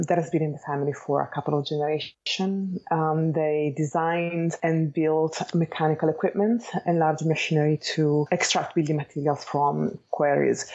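A woman in her forties with an Italian accent, giving thoughtful, measured answers as an interview guest. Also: deep voice, darkish tone, muffled recording. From Italy, Bologna. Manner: thoughtful, measured, searching for words. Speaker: architect and educator, guest.

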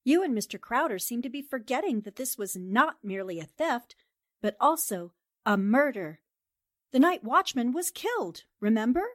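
Actress as plucky female columnist, fairly high voice, assertive, coolly polite, dry close sound, old-time radio mystery. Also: big pitch swings, moderate pace, no echo. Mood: grave, forceful. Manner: crisp, assertive, politely pointed. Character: confident, determined female newspaper columnist and sleuth. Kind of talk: 1940s radio drama acting.

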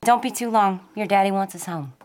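A woman's voice: mid-range voice, brisk, darkish tone, close to an everyday voice. An actress playing a dutiful young mother with a Southern US accent, polite and coaxing, in an old-time radio style drama. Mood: polite, anxious.

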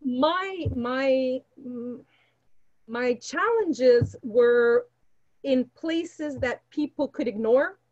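A woman's voice: high-pitched, extremely slow, a little mellow. A middle-aged woman from Canada whose mother tongue is Portuguese, talking candidly and reflectively as a podcast guest, on a roomy, slightly dull microphone. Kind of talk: candid personal conversation.

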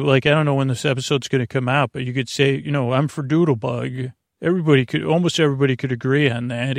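Gravelly, creaky man rambling for bedtime. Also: mid-range voice, no echo, studio quality. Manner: meandering, halting, self-interrupting tangents; whimsical, self-deprecating humour. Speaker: podcast host. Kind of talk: rambling bedtime sleep podcast monologue.

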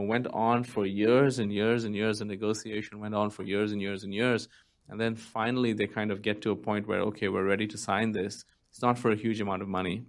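Low-pitched man talking candidly on a panel. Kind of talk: conversational policy discussion.

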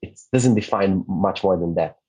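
Mellow husky Israeli-born man. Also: sits low, moderate pace, rough recording. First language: Hebrew. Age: 30s. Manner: relaxed, restarts often, light laughs. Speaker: podcast guest, digital nomad. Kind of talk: casual interview chat.

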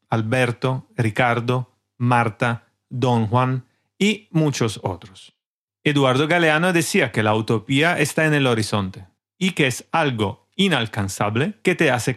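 A man with an Italian accent, hosting an interview podcast and reading a measured, reflective introduction in Spanish. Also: medium pitch, a bit bright. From Italy. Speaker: podcast host.

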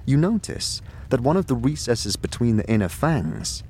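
A man narrating a scripted second-person audio drama in a deliberate storytelling manner. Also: medium pitch, sing-song, dark tone, slight background noise. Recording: music behind the voice. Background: background music.

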